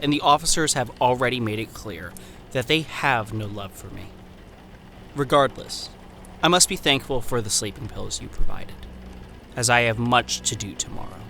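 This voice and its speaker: Casting: male actor as wary young 1930s anthropologist, formal and precise, sharp forward tone, horror podcast letters, noisy backdrop. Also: medium pitch, gravelly. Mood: uneasy, measured. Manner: formal, precise, measured diction. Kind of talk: scripted horror audio drama, letter narration.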